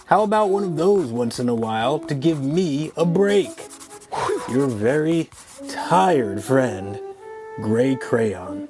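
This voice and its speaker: A woman's voice: a bass voice, animated, takes it slowly, music in the background, exaggerated voice. A woman voicing an exhausted, exasperated gray crayon that complains wearily in a storybook read-aloud. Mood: weary, exasperated. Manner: weary, sighing complaint.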